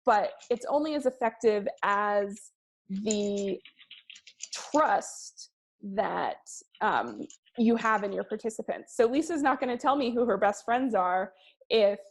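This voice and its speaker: A woman giving a presentation on research methods, speaking in a measured way with frequent ums and slowing down to stress key words. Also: mid-range voice, poor audio. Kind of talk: presentation to a class.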